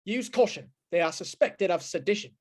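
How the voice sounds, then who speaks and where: A woman playing a brisk, official police dispatcher in an old-time radio drama, announcing in clipped, formal phrases. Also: medium pitch, expressive, a little mellow, lightly acted. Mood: official, urgent.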